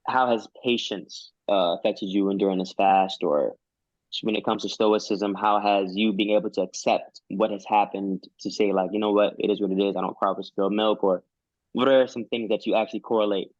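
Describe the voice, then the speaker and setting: A man's voice: lowish voice, fairly even intonation, fairly quick, no echo. A man hosting an interview over a video call, asking questions casually and hunting for words with many ums. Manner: searching for words, lots of ums. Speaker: host. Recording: dry, dull lo-fi call audio.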